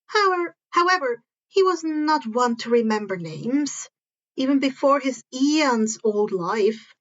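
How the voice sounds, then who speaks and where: A woman's voice: sits high, lively intonation, a little slow, a bit bright, highs cut off. A woman reading a story aloud as narrator, now and then stumbling over and repeating words, on a modest home recording. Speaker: fan fiction narrator.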